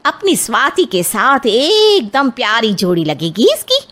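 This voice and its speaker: An eager, chatty auntie from a cartoon family tale, played by a woman. Her voice sits high, and she talks in a coaxing, effusive way as the family matchmaker. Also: huge pitch swings, unhurried, a bit bright, exaggerated voice. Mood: eager, persuasive. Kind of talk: Hindi animated moral story acting.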